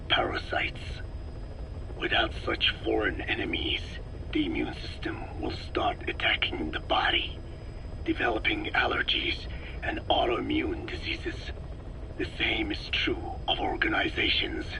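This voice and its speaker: An actor playing a grave, learned old man for a video game tape, speaking slowly and formally in a breathy rasp. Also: fairly low pitch, whispered. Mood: sombre, remorseful. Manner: slow, measured, formal, solemn. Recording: noisy cassette tape recording.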